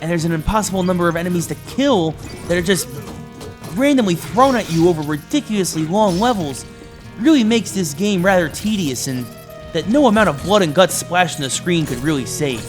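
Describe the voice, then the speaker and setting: A man's voice: sits high, lively intonation, a little fast. A man narrates a scripted video game review in an emphatic presenter's delivery. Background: background music.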